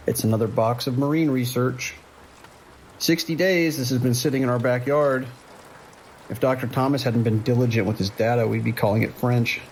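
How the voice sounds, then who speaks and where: A man playing a steady, weary sheriff's dispatcher in an audio drama, measured and procedural, with an American accent. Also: mid-range voice, close to an everyday voice, low-quality recording. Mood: weary, dry. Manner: measured, procedural, hesitant pauses. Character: steady, weary male island sheriff's dispatcher on night shift. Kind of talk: naturalistic audio drama acting. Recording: faint noise, rain behind.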